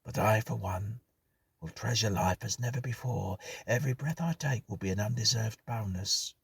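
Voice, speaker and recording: A man voicing a shaken survivor who speaks in a hushed, solemn tone. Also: fairly low pitch, normal speed, gravelly, slightly rough, dry recording, recorded from a distance, voice pushed up, full character voice. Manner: hushed, whispery.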